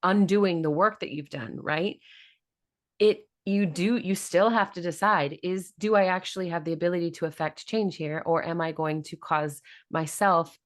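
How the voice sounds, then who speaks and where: A woman with a notably low voice hosts a casual interview podcast. She thinks aloud and builds long, reflective questions for her guest. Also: normal speed. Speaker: podcast host.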